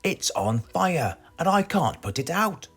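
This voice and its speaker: A man's voice: fairly high pitch, very animated, unhurried, a bit bright, slightly rough, pitched above the performer's own voice, full character voice. A British man voicing an earnest, easily flustered farmer in a children's storybook. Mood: worried, perplexed. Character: worried, perplexed, grateful male storybook farmer.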